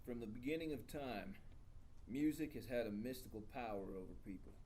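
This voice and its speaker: A man's voice: medium pitch, animated, a little fast, mellow, a little hiss or hum, lightly acted. Man acting an intense, preachy DJ mentor. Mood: solemn, grandiose. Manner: emphatic, preachy, profane. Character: intense profane male DJ mentor, solemnly grandiose about music. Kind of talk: table read of a comedy screenplay.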